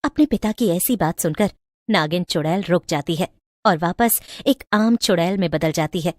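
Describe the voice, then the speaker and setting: A woman's voice: lowish voice, moderate pace, darkish tone, dry recording, clean studio sound. A woman storyteller reading a scripted moral tale in a dramatic narrating style.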